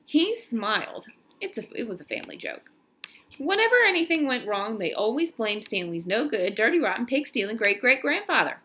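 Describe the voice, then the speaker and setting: A woman reading a children's novel aloud in an expressive storytelling style, now and then stumbling and correcting herself. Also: fairly high pitch, normal speed, slight background noise.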